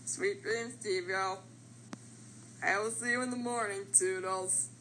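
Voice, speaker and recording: A woman's voice: medium pitch, fairly even intonation, a little slow, bright, forceful tone, slight background noise, rough recording. A woman performing cartoon character voice lines as an amateur voice actor, in an exaggerated, theatrical manner.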